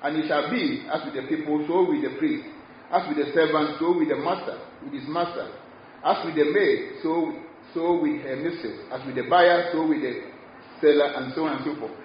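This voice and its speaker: Ghanaian man preaching in a very echoey hall. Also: on the high side. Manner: halting, repeats words for emphasis. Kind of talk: expository sermon with scripture reading. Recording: muffled, noisy, very echoey hall.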